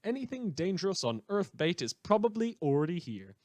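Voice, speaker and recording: A man's voice: sits high, big pitch swings, fairly quick, darkish tone, no echo. A man playing a sardonic, rambling radio news host, delivering satirical scripted news with wry, theatrical asides. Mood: sardonic, chatty. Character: sardonic, rambling madman community radio newscaster in fiction.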